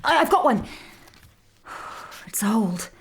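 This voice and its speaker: A woman's voice: fairly high pitch, very animated, takes it slowly, vocal fry, a little hiss or hum, heavily acted. An actress playing a frightened, pleading younger woman who stammers and is defensive of her sister, in an audio drama. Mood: uneasy, curious. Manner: stammering, agitated.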